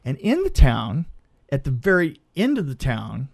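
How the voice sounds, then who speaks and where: A man's voice: medium pitch, wildly swooping pitch, a little slow, gravelly. A man telling a casual anecdote as a film crew guest, stammering and repeating words as he goes.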